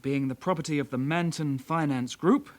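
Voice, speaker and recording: An actor playing a young British detective constable in a radio drama, a calm sidekick with a measured, official way of talking. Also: male voice, fairly high pitch, close to an everyday voice. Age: young adult.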